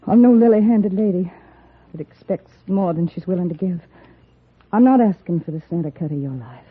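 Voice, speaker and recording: A woman's voice: on the low side, lively intonation, fairly quick, husky. An actress playing an earnest, pleading young woman in an old radio western. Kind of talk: 1950s radio western acting. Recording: lo-fi old-time radio recording.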